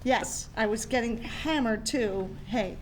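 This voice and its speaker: A woman's voice: on the high side, slow pace. Brazen, aggrieved woman. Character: brazen, aggrieved party-loving sitcom woman. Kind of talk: sitcom script table read. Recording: clear background noise, clicks.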